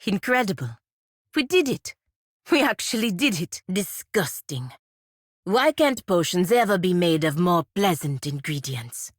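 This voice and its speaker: A woman playing a proud, stern, principled warrior in a video game, with formal, measured phrasing and a dryly sardonic edge. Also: lowish voice, dry recording, clean studio sound. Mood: urgent, then dry, disdainful. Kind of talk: video game character dialogue.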